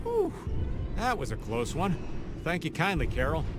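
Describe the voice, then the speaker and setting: A man's voice: a little high, huge pitch swings, normal speed, background noise. A man voicing a goofy, folksy middle-aged rogue in a video game, with a clownish, theatrical manner. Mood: startled, relieved. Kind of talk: animated video game dub acting.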